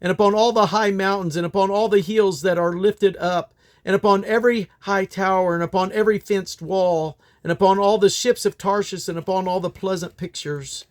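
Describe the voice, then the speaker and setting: A man's voice: sits high, fairly even intonation, moderate pace. A man reading Bible scripture aloud in old King James English, in a solemn, declamatory manner. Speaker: Bible reader.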